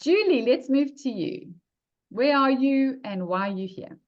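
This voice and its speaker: A woman's voice: sits high, lively intonation, measured pace, muffled recording. A woman coaching a group on a video call, upbeat and encouraging as she calls on each member.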